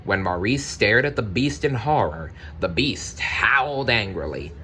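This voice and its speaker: A man reading a children's story aloud, with expressive, deliberate narration and the odd personal aside. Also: lowish voice, a little hiss or hum. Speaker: storybook reader.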